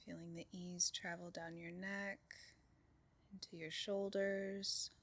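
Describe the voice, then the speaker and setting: Slow, even-toned woman guiding meditation. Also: on the low side. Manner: calm, soothing, unhurried with long pauses. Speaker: meditation group leader. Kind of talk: guided meditation, soft spoken instruction.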